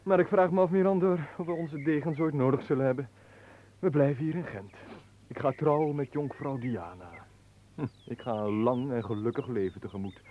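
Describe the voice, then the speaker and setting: A man's voice: medium pitch, a little fast, mellow, slight background noise, close to an everyday voice. An actor in a Dutch radio play playing a restless, drily ironic young master swordsman with a declamatory delivery. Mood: wry, instructive.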